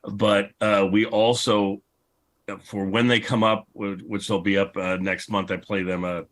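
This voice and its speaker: A man with a fairly low voice moderates a panel as podcast host. He talks off the cuff in a rambling, enthusiastic way, often circling back over his own phrases. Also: flat delivery, measured pace, fairly bright tone. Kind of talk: unscripted panel discussion.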